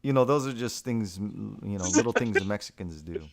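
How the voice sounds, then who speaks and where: A man's voice: lowish voice, animated, fairly quick, vocal fry, crowd noise. Teasing man joking on a podcast. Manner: teasing, joking, swears freely. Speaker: podcast co-host. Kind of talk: casual podcast banter.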